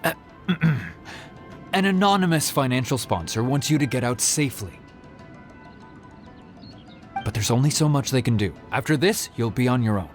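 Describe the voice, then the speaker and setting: A man's voice: medium pitch, lively intonation, normal speed, pauses often, faint music behind, lightly acted. An actor playing a businesslike male go-between in scripted game dialogue. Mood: matter-of-fact.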